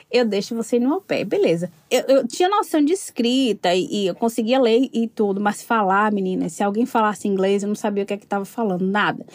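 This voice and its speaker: A woman from Brazil in her thirties, telling her story in a casual interview, animated and laughing often. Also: a little high, no echo.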